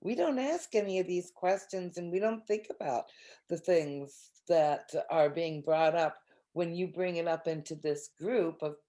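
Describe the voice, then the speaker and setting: A woman's voice: lowish voice, measured pace, mellow. A woman taking part in a casual, reflective group discussion, thinking aloud as she talks. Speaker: discussion participant.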